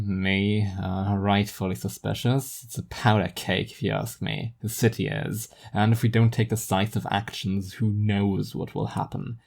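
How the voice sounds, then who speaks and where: A performer voicing a paranoid, bigoted middle-aged businessman in an audio story, halting and insinuating in manner. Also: deep voice, creaky, darkish tone, heavily acted.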